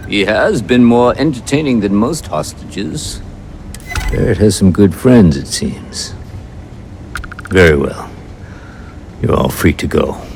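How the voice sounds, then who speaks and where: A man playing a courteous, calculating space pirate captain in a video game, measured, formal and dryly amused. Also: fairly low pitch, animated, vocal fry, darkish tone, a little hiss or hum, heavily acted. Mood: dry, gracious. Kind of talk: video game dialogue acting.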